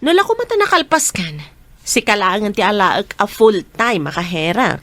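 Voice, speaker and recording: A woman playing a strict young restaurant owner in an Ilocano radio drama, with an Ilocano accent and a brisk, businesslike manner. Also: medium pitch, sing-song, fairly bright tone, no echo.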